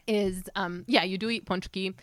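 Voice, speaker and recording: A woman's voice: mid-range voice, steady tone, normal speed, no room sound, clean studio sound. A young woman chatting casually on a podcast, explaining things in an opinionated way and readily correcting people. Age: young adult.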